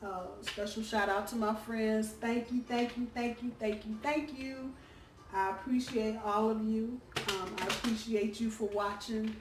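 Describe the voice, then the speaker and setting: A hoarse woman giving a casual weekly tarot reading, chatty and full of ums and uhs. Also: a little high, steady tone, a little slow. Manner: chatty, warm-hearted, lots of ums and uhs. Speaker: tarot reader. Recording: roomy, distant from the mic, faint background hum.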